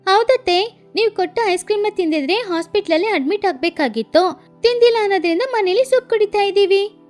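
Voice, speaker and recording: A woman's voice: very high-pitched, animated, a little fast, faint music behind, pitched above the performer's own voice. A woman voicing a cheeky young bird child in a cartoon who teases an aunt. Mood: teasing. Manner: teasing and playful.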